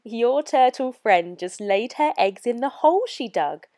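A woman voicing a gentle, knowing old island grandmother in a storybook, explaining things in a calm, assured, kindly way. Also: on the high side, measured pace, no room sound, clean studio sound.

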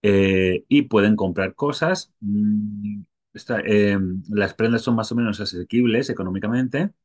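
A man's voice: fairly low pitch, measured pace, a little mellow. A middle-aged man from Spain with a Spanish accent, talking casually to his webcam and thinking aloud as he goes. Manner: rambling, thinking aloud, tag questions. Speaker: stock photographer vlogger. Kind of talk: casual talk to camera.

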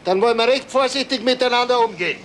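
A man's voice: very high voice, fast, fairly bright tone. An actor playing a grumbling, touchy Munich policeman with a Bavarian accent in a radio crime play. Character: grumpy Munich policeman with a headache, thick-headed. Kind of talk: radio crime play acting, dialect dialogue. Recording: roomy 1970s radio play, faint noise.

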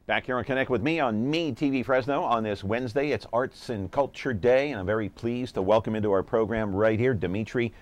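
A man's voice: mid-range voice, fairly quick, hardly any pauses. A man in his fifties hosting a local TV talk show, chatty and upbeat as he interviews a guest in the studio.

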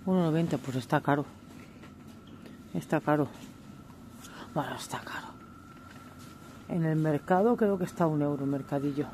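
A woman's voice: deep voice, moderate pace, long pauses, warm tone. A woman chatting casually while filming her supermarket shopping on a handheld camera. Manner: chatty, exclaims over prices and products. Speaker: vlogger. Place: supermarket indoors. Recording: noisy supermarket ambience.

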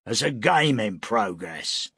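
A man voicing a loud, defiant owner of a gambling house who blusters and mocks. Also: on the low side, big pitch swings, takes it slowly, husky, no room sound, clean studio sound, full character voice. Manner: blustering, mocking.

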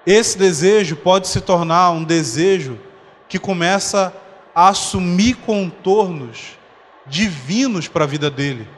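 A man in his thirties preaching a conference sermon on a dark church stage with a handheld mic, conversational, explaining slowly with humorous asides. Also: high-pitched. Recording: long hall reverb, faint noise.

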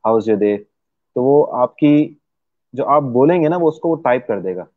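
A young man, explaining his English course in a relaxed interview, with many "uh" pauses. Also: mid-range voice, no echo. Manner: explains patiently, with frequent "uh" pauses and fillers. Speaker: English teacher, interview guest. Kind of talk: casual explanatory interview talk. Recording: dry, tinny phone-like line.

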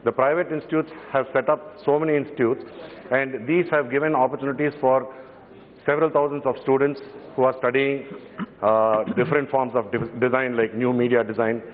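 A man's voice: mid-range voice, steady tone, a little fast. Middle-aged male MP, Tamil-accented English, hesitant delivery, reverberant hall on narrow audio. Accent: Tamil Indian English. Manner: hesitant, many fillers and restarts, glancing at notes. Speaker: member of parliament. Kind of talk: parliamentary speech. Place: large parliament chamber. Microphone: desk microphone from standing. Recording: echoing hall, phone-like broadcast audio.

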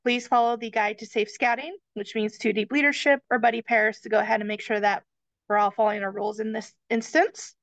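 A woman's voice: fairly high pitch, steady tone, brisk, a bit bright, no echo. A woman presenting camp check-in and checkout rules on a video call, friendly and talking to listeners as "you guys". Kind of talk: slideshow briefing. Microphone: webcam on video call.

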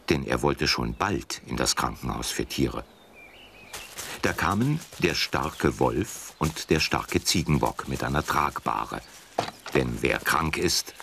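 A man's voice: very deep voice, creaky, fairly bright tone, slight background noise. A man narrating a scripted children's story as the storyteller.

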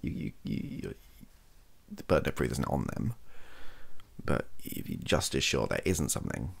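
A young British man chatting softly and casually in an ASMR style, rambling and hesitant, with a gravelly, husky voice. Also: rumbling low, big pitch swings, moderate pace. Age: young adult. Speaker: content creator. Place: small cluttered bedroom, indoors.

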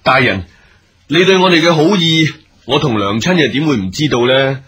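A man's voice: on the high side, lively intonation, normal speed, a bit bright, slightly rough, heavily acted. A man acting a respectful, principled junior officer and devoted son in a period radio drama, in deferential Hong Kong Cantonese. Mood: respectful, firm. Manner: deferential, formal.

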